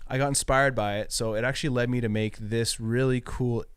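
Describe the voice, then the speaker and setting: A man's voice: mid-range voice, steady tone, brisk, clean tone, no echo, clean studio sound. A young man, a music producer, casually and cheerfully walking through how he built a remix. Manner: upbeat, explanatory, casual. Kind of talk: explaining a remix in a studio walkthrough.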